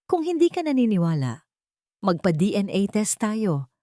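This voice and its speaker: A woman's voice: medium pitch, very animated, measured pace, warm tone, no room sound, clean studio sound. A woman voicing a desperate young mother who pleads through tears, her voice raised and breaking.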